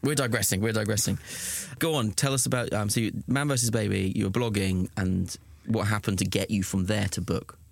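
A man's voice: fairly low pitch, steady tone, fairly quick, vocal fry, few pauses, no echo. A man from southern England hosting a casual interview, teasing his guest in a playful way. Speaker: podcast host.

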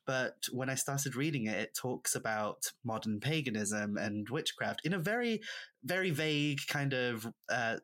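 A Welsh man with a mid-pitched voice talks as a podcast guest. He is a native Welsh speaker from the countryside, and he recounts things in a chatty, animated, conversational way. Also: moderate pace. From rural Wales. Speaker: podcast guest, author and witchcraft practitioner. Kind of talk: conversational interview storytelling.

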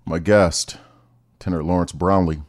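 A man's voice: low-pitched, steady tone, a little slow. A man from Ohio hosting a radio interview, asking guests questions. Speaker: radio host.

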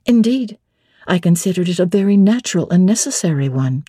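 Woman playing headstrong young bride from a classic novel, tart n firm, soft mellow tone, close dry sound. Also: lowish voice, animated, brisk, slightly rough, dry recording. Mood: indignant. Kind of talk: dramatic classic novel reading.

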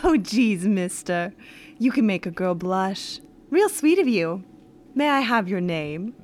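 A woman's voice: medium pitch, expressive, slow pace, a little mellow. A woman playing a friendly, folksy 1930s lodge hostess in a radio drama, with chatty, slangy talk. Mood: bashful, chirpy.

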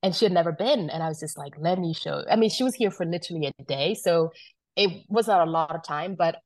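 Guest woman from South Sudan, low voice, chatty storyteller, dry close sound. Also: brisk, no echo. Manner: chatty, storytelling, many fillers and restarts. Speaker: wine business founder, guest. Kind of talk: casual conversation.

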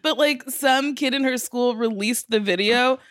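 Higher-voiced woman chatting casually. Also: a little slow, fairly bright tone, no room sound, clean studio sound. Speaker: podcast host. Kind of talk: casual chat.

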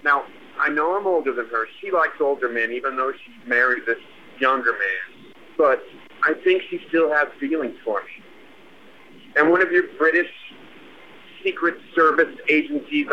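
A hissy, muffled voicemail from a weary, paranoid American punk rocker, acted by a man. The aging man speaks in earnest, halting phrases, in audio drama style. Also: mid-range voice, close to an everyday voice. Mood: paranoid, pleading. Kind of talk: audio fiction voicemail monologue. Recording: muffled noisy phone voicemail.

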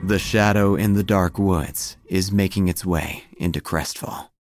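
A man playing a vain, droll small-town obituary writer, narrating an audio drama in a pompous deadpan over music. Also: sits low, unhurried, noisy background. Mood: droll, grandiose.

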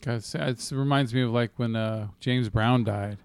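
Man, casual movie podcast co-host, rambling, profane, mid-pitched crisp voice with some fry. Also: fairly even intonation, a little slow, no room sound. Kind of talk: casual film news chat.

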